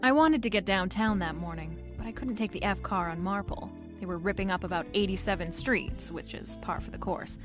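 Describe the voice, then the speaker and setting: A woman's voice: mid-range voice, fairly quick, hardly any pauses, a bit bright, soft background music, lightly acted. A woman playing a wisecracking, flirtatious private eye, narrating a noir radio drama in a wry, playful way.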